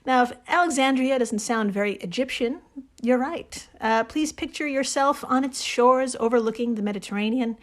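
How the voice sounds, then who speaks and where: A woman with a fairly high voice hosts a casual comedy podcast. She tells stories to a co-host in an irreverent, joking manner and swears freely. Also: normal speed. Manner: irreverent, jokey, casually profane.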